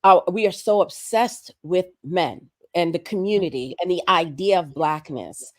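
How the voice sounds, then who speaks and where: A hoarse woman, sick with a respiratory virus, making earnest, emphatic points as a guest on a livestream conversation. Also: fairly low pitch, a little slow, no echo, studio quality. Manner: earnest, emphatic, building points through repetition. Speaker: guest commentator.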